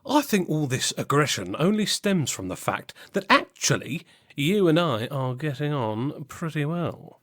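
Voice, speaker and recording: A man plays an impudent, good-natured British serf in a comedy audio play. He talks plainly and chummily in a fairly high male voice with bouncy, varied intonation. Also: very animated, unhurried, a bit bright, exaggerated voice. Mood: cheeky. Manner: chummy, plain-spoken, colloquial. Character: cheery, cheeky, smelly peasant serf. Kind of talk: comic period audio drama acting.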